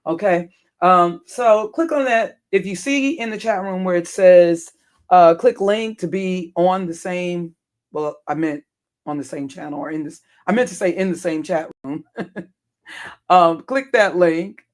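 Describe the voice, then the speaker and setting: A livestream host with a hoarse, deep, worn voice, chatting casually with viewers, rambling and correcting themselves with frequent chuckles and ums. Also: unhurried.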